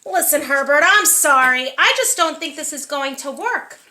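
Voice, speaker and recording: A woman's voice: high voice, expressive, moderate pace, sharp tone, slight background noise, full character voice. A woman voicing a young child, anxious but demanding and blunt with questions, in a children's storybook. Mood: apologetic, firm. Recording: echoey room.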